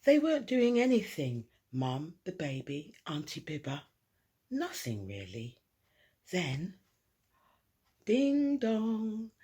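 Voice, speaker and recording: A woman reading a children's picture book aloud expressively, dramatic, with hushed asides and emphasis on repeated words. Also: on the low side, sing-song, slow pace, long pauses, husky. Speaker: storyteller.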